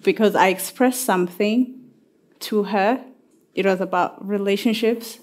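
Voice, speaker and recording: A woman whose first language is not English answers a question on a panel. She talks slowly and thoughtfully, in a mid-pitched voice. Her manner is personal and reflective. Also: a little mellow. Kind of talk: panel discussion.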